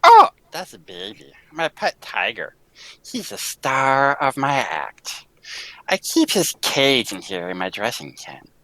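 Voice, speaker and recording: A woman's voice: huge pitch swings, takes it slowly, no room sound, full character voice, poor audio. A woman playing a menacing, volatile circus tiger tamer in a theatrical old-time radio drama style. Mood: quietly menacing. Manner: theatrical, swooping between hushed menace and shrill gushing. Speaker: amateur voice actor in live table read.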